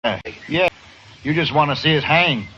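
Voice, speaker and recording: An actor playing a bitter, reckless outlaw in an old radio western, sneering and defiant. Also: male voice, a little high, moderate pace, pushed and bright. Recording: muffled, very noisy old radio recording.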